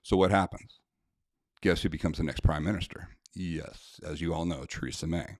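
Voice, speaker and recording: Brief American man, deep voice, indignant straight talk, close dry mic. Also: normal speed, darkish tone, no echo. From United States. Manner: blunt, indignant, conversational with many fillers. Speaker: self-defense instructor. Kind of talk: casual talk to camera, reading a letter aloud.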